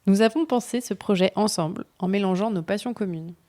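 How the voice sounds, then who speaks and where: Mellow-voiced woman presenting a project. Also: mid-range voice, fairly quick, slight background noise. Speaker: co-host of a bicycle radio project.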